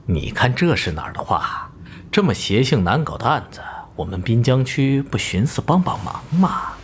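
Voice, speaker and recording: A man voicing a genial, wily middle-aged police bureau chief with a folksy, jovial manner in an animated crime drama. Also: medium pitch, normal speed, slight background noise, lightly acted. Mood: jovial, sly. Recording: faint background ambience.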